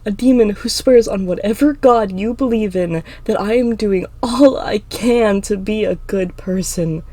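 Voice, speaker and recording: A woman voicing an anguished, defiant teenage demon girl in a fantasy story, pleading in impassioned shouts. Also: medium pitch, a little slow, close to an everyday voice. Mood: desperate. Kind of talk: dramatic fantasy audiobook acting.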